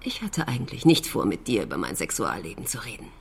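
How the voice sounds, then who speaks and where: Low-voiced cool betrayed wife. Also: female voice, very animated, fast, breathy edge, heavily acted. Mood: cool, irritated. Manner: pointed, sceptical. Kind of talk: naturalistic radio drama acting.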